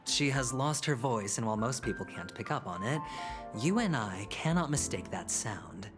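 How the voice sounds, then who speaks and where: A man's voice: medium pitch, animated, normal speed, lightly acted. Male actor as sly young-sounding gambler, music underneath. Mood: grave, confiding. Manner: playful, calculating, chuckles and sighs often. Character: smooth, reckless male gambler and schemer, wry and scheming. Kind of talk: video game voice acting. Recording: background music under dialogue.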